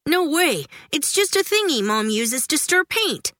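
A woman's voice: high voice, very animated, a little slow, bright, forceful tone, dry recording, voice pushed up, exaggerated voice. A woman voicing a curious, earnest seven-year-old boy who loves science. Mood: eager.

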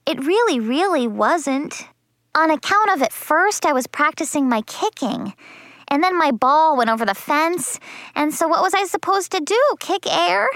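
Animated, bright-voiced little first-grade girl. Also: fairly high pitch, measured pace, no room sound, clean studio sound. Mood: pleading, defensive. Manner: childlike exaggerated emphasis, bad grammar. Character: excitable, dramatic first-grade girl, self-justifying and cheeky. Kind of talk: children's audiobook character narration.

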